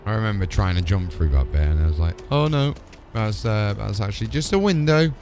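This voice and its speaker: Low-voiced man chatting through a game stream. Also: expressive, fairly quick, a little mellow. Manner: chatty, talking to himself and replying to game characters. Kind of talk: casual live gaming commentary. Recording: game music behind the voice. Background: video game music and sound.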